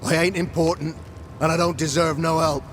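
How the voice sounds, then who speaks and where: An actor playing a weary, stubborn soldier in a video game, with rough colloquial speech and a gravelly voice. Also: male voice, a little high, flat delivery, fairly quick, a bit bright, slight background noise, natural voice. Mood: defensive, bitter. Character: weary, proud, self-deprecating male soldier on watch. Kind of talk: video game cutscene acting.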